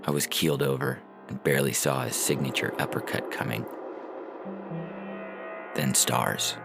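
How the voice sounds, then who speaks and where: A man with a gravelly voice reading a scripted first-person story as narration. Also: rumbling low, fairly even intonation, moderate pace. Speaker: fiction podcast reader and announcer. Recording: music bed behind the voice.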